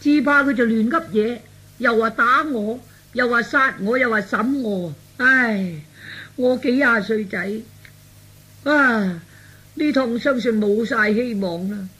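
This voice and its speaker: A man voicing a fretful, self-pitying character in animated Cantonese storytelling, grumbling plaintively in colloquial speech. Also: very high voice, slow, slightly rough, exaggerated voice, low-quality recording.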